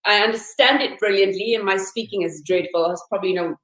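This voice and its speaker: A woman's voice: mid-range voice, quick pace, a bit bright, reverberant, poor audio. A woman speaking as a guest director and actress, chatty and self-deprecating, with frequent restarts. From South Africa. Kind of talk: casual interview chat.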